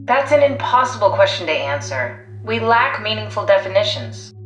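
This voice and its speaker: A woman's voice: medium pitch, animated, moderate pace, lo-fi sound, close to an everyday voice. A woman playing a pragmatic, driven, blunt scientist in a video game, analytical, matter-of-fact and persuasive. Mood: reflective. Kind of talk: video game voice acting, naturalistic dialogue. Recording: echoey sound. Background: faint music.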